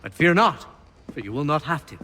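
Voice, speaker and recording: An excitable game patriot of colonial times. His voice is high and animated, formal yet urgent, in a reverberant space with noise behind it. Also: brisk. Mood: relieved, earnest. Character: fervent, excitable colonial patriot rallying allies. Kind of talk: video game character acting.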